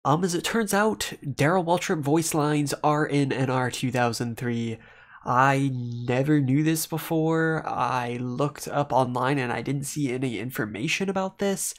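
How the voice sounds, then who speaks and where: A man's voice: medium pitch, a little slow. Young man hosting a casual tutorial. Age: young adult. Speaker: gaming video host. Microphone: desktop condenser microphone.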